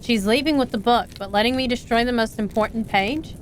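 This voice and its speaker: A woman's voice: fairly high pitch, a little fast, a little hiss or hum. A woman streaming a game live, reading visual novel lines aloud as she plays. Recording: game music behind the voice.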